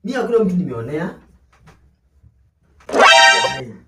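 A man's voice: on the high side, big pitch swings, unhurried, bright, forceful tone, soft background music. A man in his twenties with a Kenyan accent in a casual phone conversation, mixing Swahili and English. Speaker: boyfriend on a phone call. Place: living room. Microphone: camera across the room. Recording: distant echoey room with clear noise.

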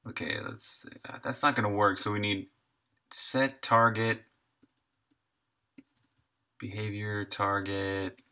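A man's voice: fairly low pitch, steady tone, takes it slowly, long pauses, pushed and bright. A man in his thirties chatting casually and thinking aloud while he codes on a live stream, sometimes muttering to himself. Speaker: game developer live streaming.

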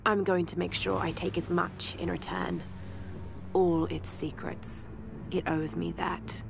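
Young survivor heroine, game journal. Lowish, fry-edged, breathy, intimate and resolute, over prominent music. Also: female voice, little pitch movement, unhurried. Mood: resolute, intense. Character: shaken but determined young woman adventurer keeping a survival journal. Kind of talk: dramatic journal narration. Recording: music behind the voice.

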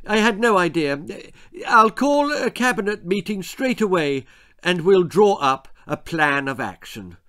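Man acting a flustered, high-voiced Prime Minister. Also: sing-song, measured pace, fairly bright tone, exaggerated voice. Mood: flustered, apologetic. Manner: hesitant, eager to please. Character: flustered, deferential Prime Minister, a storybook politician.

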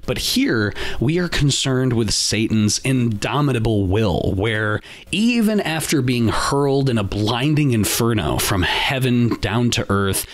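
Guy reading his podcast script, earnest and emphatic, mid pitch. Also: expressive, a little slow. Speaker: podcast host.

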